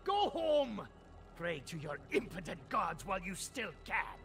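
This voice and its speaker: A scornful, fanatical fantasy game villain. His gravelly voice is strikingly high, theatrical and widely swinging, drawn out slowly over music. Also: big pitch swings, fairly bright tone, heavily acted. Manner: theatrical, sneering. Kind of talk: fantasy video game voice acting.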